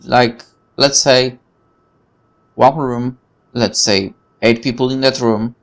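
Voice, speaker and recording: Guy doing a let's play, bit of vocal fry, reading story stuff out loud, rambles and trips on words. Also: mid-range voice, fairly even intonation, slow pace. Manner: rambling asides, stumbling over words. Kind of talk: reading a visual novel aloud with casual commentary.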